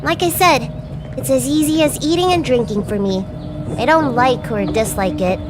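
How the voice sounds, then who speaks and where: A woman's voice: high voice, normal speed, close to an everyday voice. A woman playing a proud, bratty young girl healer with a haughty, petulant manner in a video game. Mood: matter-of-fact, perky. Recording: game dialogue over background music.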